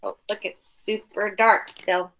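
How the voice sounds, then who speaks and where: A woman doing an expressive, dramatic children's read-aloud, pausing with asides to explain things simply like a teacher. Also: mid-range voice, a little hiss or hum. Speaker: teacher reading a picture book to young pupils.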